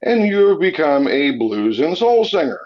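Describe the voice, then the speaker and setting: Lively husky-voiced male radio host. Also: fairly high pitch, moderate pace. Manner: lively, teasing questions. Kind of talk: radio interview. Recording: muffled lo-fi radio sound.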